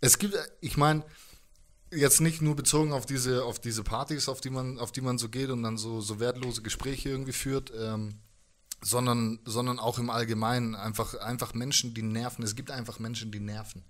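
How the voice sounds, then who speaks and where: Casual man in his thirties, medium pitch. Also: fairly even intonation, moderate pace. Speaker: musician being interviewed. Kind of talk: casual interview chat. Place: living room, indoors. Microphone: handheld microphone.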